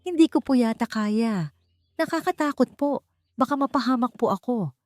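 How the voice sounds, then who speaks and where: A woman's voice: on the high side, lively intonation, normal speed, a little mellow, no echo, studio quality, soft background music, voice pushed up, lightly acted. A woman acting a timid, trembling young housekeeper. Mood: fearful. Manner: hesitant, deferential, pleading. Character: timid, anxious young housekeeper woman, humble but principled.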